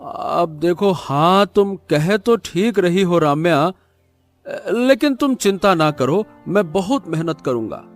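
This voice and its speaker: An actor playing a trusting, stubborn poor farmer, remonstrating colloquially in a cartoon story. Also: male voice, high-pitched, animated, takes it slowly, soft background music. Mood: reassuring.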